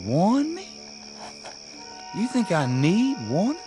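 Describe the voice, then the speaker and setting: A man's voice: sits high, huge pitch swings, takes it slowly, music in the background, heavily acted. An actor playing a stubborn young country man in a radio drama, with a rural Southern US accent and a folksy, plain-spoken manner. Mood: stubborn, unbothered. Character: defiant young country man suspected of being undead.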